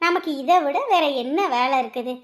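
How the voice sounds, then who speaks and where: A woman's voice: high-pitched, a little fast, echoey room, close to an everyday voice. A woman playing a doting older village woman in a family drama, coaxing and conciliatory. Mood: conciliatory insisting.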